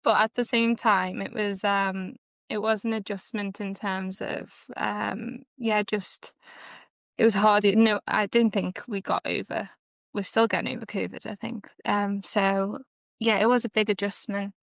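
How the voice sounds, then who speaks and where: A woman's voice: medium pitch, little pitch movement, unhurried, vocal fry, dry recording, studio quality. A young woman with a Liverpool accent, a guest on a podcast, talking casually with many fillers and some hesitation. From Liverpool, England. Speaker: guest, costs consultant.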